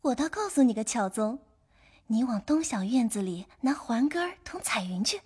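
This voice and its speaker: Coy young servant girl of old China, breathy, lilting, playful, hushed teasing. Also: fairly high pitch, animated, moderate pace. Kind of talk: audio drama acting of a classical novel.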